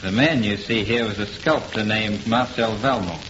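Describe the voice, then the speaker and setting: An actor playing a grave, calm gentleman investigator who explains with solemn deliberation in an old-time radio horror play. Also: lowish voice, normal speed, lightly acted. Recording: noisy muffled old radio recording.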